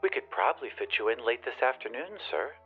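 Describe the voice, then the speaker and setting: A man voicing a warm, polite female receptionist with brisk, polished phone manners. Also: mid-range voice, sing-song, phone-quality sound, soft background music, lightly acted.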